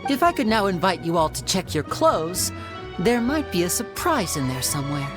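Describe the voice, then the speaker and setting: A man's voice: high voice, sing-song, moderate pace, music in the background. An actor playing a suave, confident young male stage magician with a polished, showman-like delivery. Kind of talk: video game dialogue.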